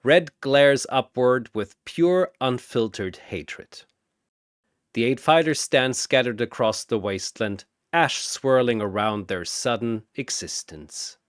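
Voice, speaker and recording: A man narrating a fantasy battle in a bombastic, theatrical style with drawn-out emphasis, like an arena announcer. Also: medium pitch, no room sound, studio quality.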